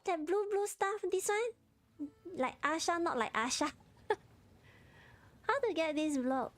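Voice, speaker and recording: A woman's voice: very high voice, expressive, takes it slowly, a little hiss or hum. A Malaysian woman with a Malaysian accent, chatting casually in short clipped phrases while she plays a game on a livestream.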